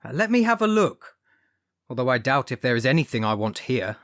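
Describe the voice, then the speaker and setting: Hesitant young painter, very animated. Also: female voice, very deep voice, a little fast. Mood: hesitant, musing. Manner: hesitant, musing. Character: young struggling male painter, hesitant but choosy. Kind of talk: radio play acting.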